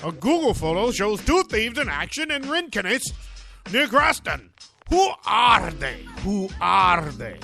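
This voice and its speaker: A man's voice: high-pitched, very animated, unhurried, vocal fry, bright, forceful tone, soft background music. A man hosting a podcast, reading odd news stories and adding sarcastic, joking asides. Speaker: podcast host. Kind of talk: comic news commentary.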